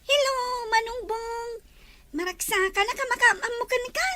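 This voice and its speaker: Squeaky Filipino little sister. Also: female voice, normal speed, pushed and bright, slight background noise. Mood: cheerful. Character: Jane, little younger sister, girl, cheerful. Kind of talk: Ilocano radio drama acting.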